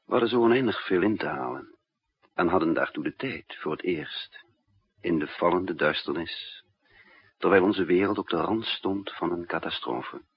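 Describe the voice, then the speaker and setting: Deep husky haunted spy narrator. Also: male voice, normal speed. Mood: grave, tender. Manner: dramatic first-person storytelling. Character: weary male spy narrating his desperate escape story. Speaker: narrator and lead character. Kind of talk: 1960s radio drama acting. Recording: dull muffled 1960s radio recording.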